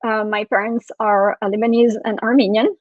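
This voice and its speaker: A woman from France and Syria giving a reflective talk for an online expo, soft-spoken with frequent fillers. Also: on the high side, fairly even intonation, normal speed, no room sound, muffled recording. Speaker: expo speaker, spiritual coach.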